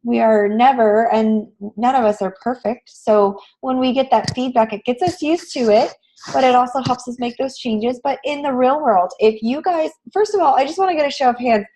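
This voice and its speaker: A woman with a raspy voice, hoarse from a cold, teaching a live online class in an encouraging, conversational way. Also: on the high side, moderate pace, warm tone, rough recording. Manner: encouraging, addresses students with frequent questions. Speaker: online graphic design instructor. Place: online class session, screen shared.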